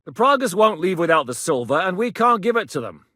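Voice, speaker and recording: An actor playing a shrewd, resolute medieval rebel captain who speaks plainly and with authority in a video game. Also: male voice, sits high, sing-song, brisk, pushed and bright, no room sound, clean studio sound, heavily acted. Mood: grave, urgent. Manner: authoritative, decisive, plain-spoken. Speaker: military leader planning operations. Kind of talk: video game dialogue acting.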